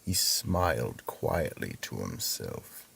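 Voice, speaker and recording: A man with a gravelly, husky voice giving deliberate, solemn dramatic narration for a mystery radio play. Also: very deep voice, sing-song, fairly bright tone.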